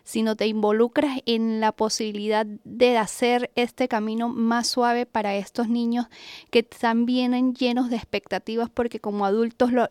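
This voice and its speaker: A woman from Venezuela with a Venezuelan accent, a guest talking in an expressive, flowing way at a studio microphone. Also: fairly high pitch, smooth, no echo.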